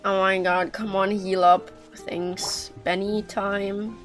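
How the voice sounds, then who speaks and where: A woman's voice: medium pitch, flat delivery, takes it slowly. A woman with a stuffy nose giving casual, joking and blunt live commentary as she plays a video game. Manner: joking, blunt, reacting aloud. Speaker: game streamer. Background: game music.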